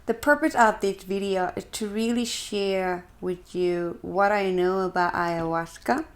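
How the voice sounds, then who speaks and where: Earnest woman with Ecuadorian accent. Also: mid-range voice. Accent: Ecuadorian Spanish. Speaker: shamanic practitioner and video host. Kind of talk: earnest explanatory talk to camera.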